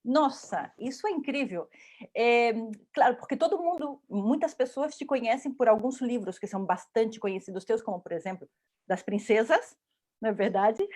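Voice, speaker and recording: Enthusiastic, effusive woman from Brazil, slightly high voice; interviewer on a video call. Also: moderate pace, a little mellow. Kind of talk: casual interview.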